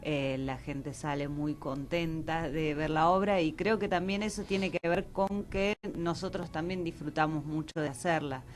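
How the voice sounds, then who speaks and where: A woman talks in a casual radio interview in a distinctly low voice. She is tentative and halting, feeling her way toward each word. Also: fairly even intonation. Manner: tentative, halting, pauses to find words. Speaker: interview guest, theatre director.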